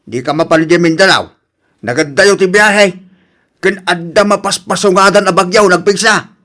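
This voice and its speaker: A woman acting a resentful, short-tempered relative in a radio drama. The voice is bright and sharp, with a grumbling manner. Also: lowish voice, normal speed, close to an everyday voice.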